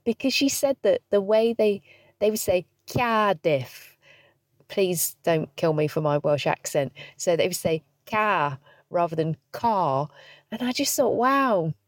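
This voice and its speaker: A woman's voice: medium pitch, lively intonation, measured pace, no echo, studio quality. A woman hosting her podcast from a parked car, stuffy with hay fever, chatting cheekily and laughing at herself. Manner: chatty, cheeky and self-deprecating, laughing often. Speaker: podcast host. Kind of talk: casual solo podcast chat.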